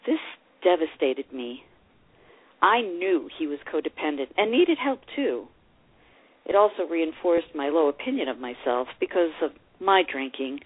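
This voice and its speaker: An actress plays a reflective older divorced woman telling her memoir, tender and easily hurt. Her voice is very low for a woman and moves expressively. It comes dry and thin, as through a phone or small radio. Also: no echo, narrow, tinny audio. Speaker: actor reading a memoir. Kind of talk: dramatized first-person memoir reading.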